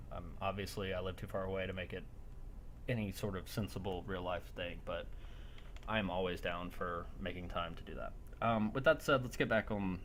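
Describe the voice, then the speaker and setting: Low-voiced man running a tabletop game. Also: slight background noise. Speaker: game master. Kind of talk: casual chat while running an online tabletop game.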